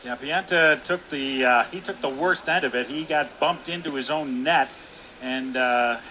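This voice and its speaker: A man in a broadcast booth giving hockey color commentary, with relaxed, analytical remarks full of fillers and restarts. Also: mid-range voice, measured pace, slight background noise. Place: broadcast booth above an ice rink.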